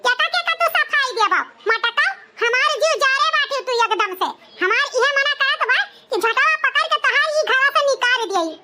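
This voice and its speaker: A performer with a pitched-up, squeaky voice and an eastern Uttar Pradesh accent, voicing a melodramatic, complaining village woman in a comedy cartoon. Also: animated, moderate pace, hardly any pauses, sharp tone, slight background noise, exaggerated voice. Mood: scolding, accusing. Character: scolding village mother-in-law and wronged widowed daughter-in-law, cartoon women.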